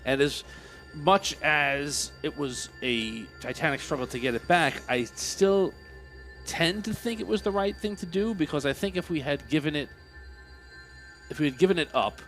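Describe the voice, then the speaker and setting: A man speaking in character at a tabletop game, earnest and measured, sometimes halting. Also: on the high side, fairly bright tone, faint music behind, rough recording. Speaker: player.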